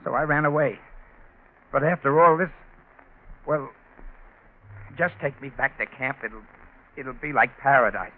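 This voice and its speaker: Over a noisy, narrow old radio line, a man plays an excitable American deserter on the run. His mid-pitched voice is insistent and pleading, in 1940s drama style. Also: unhurried, pauses often, natural voice. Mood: relieved, rueful. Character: agitated army deserter suspected as escaped lunatic, pleading.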